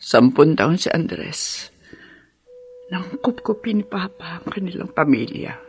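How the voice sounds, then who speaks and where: A woman acting a tender, devoted widowed mother in a radio drama, with a halting, emotional delivery. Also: mid-range voice, vocal fry, breathy edge.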